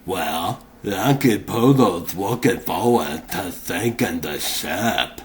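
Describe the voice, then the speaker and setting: A man voicing a gruff, sarcastic pig strongman superhero in a comic dub. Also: deep voice, expressive, takes it slowly, slight background noise. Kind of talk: comic book dub acting.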